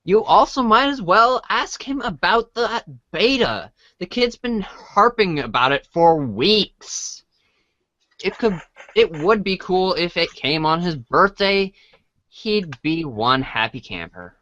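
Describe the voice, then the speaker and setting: A man's voice: sits high, lively intonation, slow pace, pushed and bright, no room sound. Male narrator for a comic read-along, informal, prone to stumbles, close dry sound with dull top end. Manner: informal, stumbles while reading. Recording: dry, dull band-limited audio.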